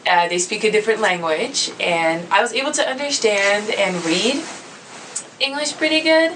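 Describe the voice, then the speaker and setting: A woman's voice: medium pitch, normal speed, fairly bright tone. A woman, chatting easily with students about her work as an international student coordinator, with plenty of fillers. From Netherlands. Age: 30s. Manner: easygoing and chatty, with many fillers and frequent laughter. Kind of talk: casual self-introduction to students. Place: classroom. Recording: slightly distant mic with faint background noise.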